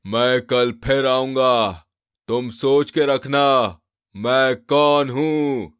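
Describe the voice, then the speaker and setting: A man's voice: medium pitch, fairly even intonation, a bit bright, smooth, no echo, clean studio sound, pitched above the performer's own voice. A man voicing a menacing house ghost in a cartoon horror comedy, stretching out the words in a spooky way. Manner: very slow, drawn-out, spooky. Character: menacing male house ghost posing riddles. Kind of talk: animated horror-comedy story acting.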